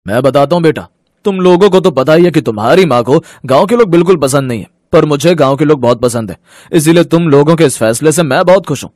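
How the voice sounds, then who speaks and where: A man's voice: fairly high pitch, expressive, quick pace, darkish tone, no echo, studio quality, natural voice. An actor playing an indignant, fair-minded family man in a Hindi moral story, earnest and emphatic. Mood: proud, earnest. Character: indignant, fair-minded father and his grown sons.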